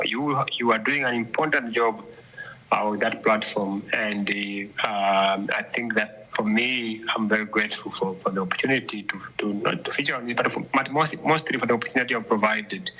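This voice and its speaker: A man speaking as a guest commentator over the phone in a live interview, earnest and reflective, halting with frequent restarts. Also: lowish voice, pushed and bright, breathy edge, low-quality recording. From Zambia.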